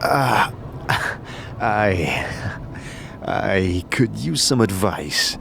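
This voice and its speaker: A man's voice: fairly low pitch, expressive, drawn-out words, vocal fry, background noise, heavily acted. A man voicing a puzzled wanderer in a fantasy audio drama, asking hesitant questions. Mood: uncertain. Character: puzzled male wanderer seeking answers and advice.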